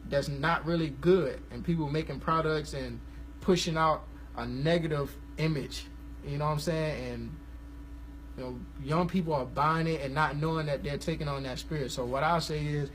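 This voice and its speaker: A man's voice: fairly high pitch, normal speed, slight background noise, rough recording. Laid-back young man chatting on a live stream. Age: 20s. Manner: relaxed, rambling, slangy and upbeat. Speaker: gospel musician hosting a live stream. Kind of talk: casual live-stream chat.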